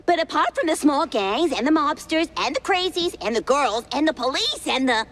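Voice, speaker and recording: A high, swooping female cartoon mouse, a flustered magician's assistant. She rambles along in a ditzy, scatterbrained way, as in an animated noir game. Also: normal speed, a little hiss or hum. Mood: ditzy, chatty.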